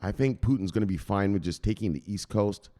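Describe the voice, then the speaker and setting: A man's voice: lowish voice, a little fast, mellow. A man hosting a crypto news channel, ranting through the headlines in a profane and emphatic way.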